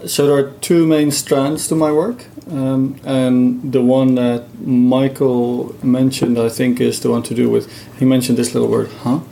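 Gravelly Dutch man explaining his research. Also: mid-range voice, flat delivery, unhurried, hardly any pauses, mellow, slight background noise. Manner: thoughtful, many fillers, demonstrates sounds. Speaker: linguistics researcher. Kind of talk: explanatory interview talk.

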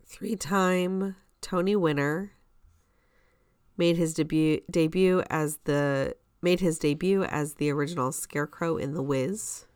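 Woman podcaster, voice a bit on the low side, chatty storyteller, dry sound with no room echo. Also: fairly even intonation, unhurried, a little mellow, smooth. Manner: conversational storytelling, acts out quoted reactions. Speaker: podcast co-host. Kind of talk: casual chat about musical theater.

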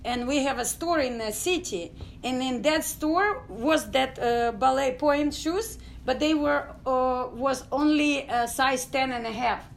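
A middle-aged woman from Ukraine with a Ukrainian accent, telling her story as an interview guest in halting English full of fillers. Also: sits high, vocal fry, a little hiss or hum. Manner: halting non-native English with many fillers. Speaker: guest, dance company founder.

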